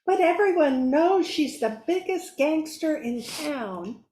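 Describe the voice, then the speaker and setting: An earnest, worried niece from an old-time radio play , acted by a woman, in an echoing room. Her voice is high and very lively, with emphatic, measured phrasing. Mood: alarmed. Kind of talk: amateur old-time radio drama acting.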